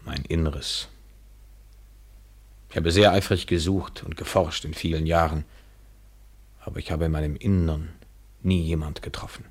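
Low, husky, world-weary lover. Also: male voice, steady tone, normal speed, pauses often. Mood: detached, bleak. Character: world-weary, detached male lover about to depart. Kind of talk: intimate classic German radio play acting.

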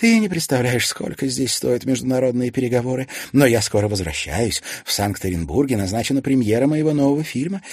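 A man's voice: lowish voice, animated, normal speed, a little mellow, husky, no room sound, pitched above the performer's own voice. A man voicing a vain, evasive middle-aged actress mother in an audiobook, dramatic and defensive. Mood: breezy, chatty.

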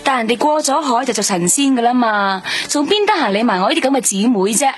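An actress playing a streetwise, sharp-tongued woman from a karaoke bar in a radio drama. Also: on the high side, animated, quick pace, slightly rough. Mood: sarcastic. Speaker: witness questioned by police. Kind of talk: Hong Kong radio drama acting.

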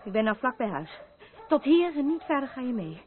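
An actress playing an intense, haunted woman in a Dutch radio drama, brooding, earnest and halting. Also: high voice, big pitch swings. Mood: earnest, determined. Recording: muffled, phone-like old radio play recording.

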